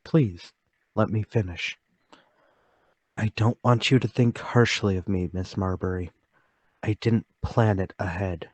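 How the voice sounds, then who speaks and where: A man performing as a haunted, timid man pours out a confession in radio-drama style. His low voice is halting and intense, pleading to be heard, and it sits dry with little room around it. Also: darkish tone, breathy edge, no echo, heavily acted. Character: timid, troubled lonely man confessing to murder, trembling. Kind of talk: dramatic radio-play table read.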